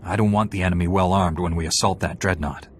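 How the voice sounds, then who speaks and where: An actor playing a cool, intimidating Jedi warrior in a video game, speaking in a terse, authoritative way. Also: male voice, deep voice, fairly even intonation, quick pace, slight background noise, lightly acted. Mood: cool, blunt. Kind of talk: dramatic video game acting.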